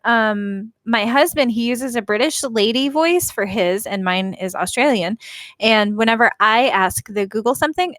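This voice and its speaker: An American woman speaks casually as a stream co-host. She is chatty and enthusiastic, with a mid-pitched voice on a dry, close microphone. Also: fairly bright tone, no room sound. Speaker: livestream co-host. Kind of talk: casual chat.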